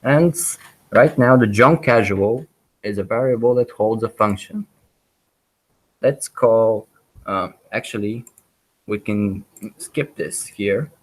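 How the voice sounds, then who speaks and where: A man teaching a programming webinar, walking through live code with many pauses, ums and restarts. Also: on the low side, low-quality recording. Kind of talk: live coding walkthrough.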